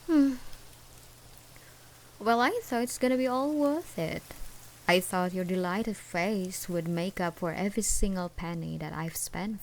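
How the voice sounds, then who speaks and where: Woman acting a slow, creaky obsessive ex-girlfriend. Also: fairly low pitch, slight background noise, exaggerated voice. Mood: wounded, threatening. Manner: slow, menacing, drawn-out taunting with dark chuckles and whispers. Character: obsessive, menacing jilted ex-girlfriend hunting her beloved. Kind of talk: ASMR roleplay, intimate dramatic monologue.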